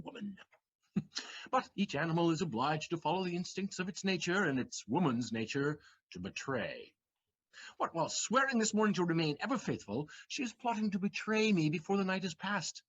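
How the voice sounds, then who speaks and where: Un actor que interpreta a un criado astuto y burlón en una obra de teatro radiofónica, declamando de forma teatral; grabación apagada y de baja fidelidad. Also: male voice, on the high side, husky, highs cut off. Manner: wry, theatrical declaiming with sudden laughs. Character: clever scheming young male valet Figaro. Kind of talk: community theatre audio play.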